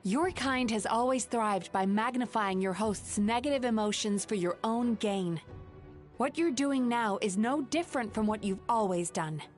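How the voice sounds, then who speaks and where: A woman voicing a game heroine, speaking in an earnest, calm and steady way. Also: on the high side, normal speed, faint music behind, natural voice. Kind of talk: game voice acting.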